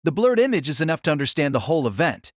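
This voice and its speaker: Narrator for an audio drama: man, slightly high voice, very varied melody, echo-free sound. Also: sing-song, rapid-fire, clean studio sound.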